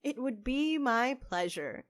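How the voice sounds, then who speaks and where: A woman's voice: sits high, expressive, slow pace, slight background noise. A woman playing an earnest, hopeful young witch who runs a cafe, in a conversational audio drama style. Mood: upbeat.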